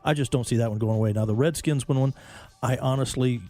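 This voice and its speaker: Fast-talking mellow-voiced male co-host. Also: mid-range voice. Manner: quick, joking, pop-culture references. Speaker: sports radio co-host. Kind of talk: casual sports talk radio.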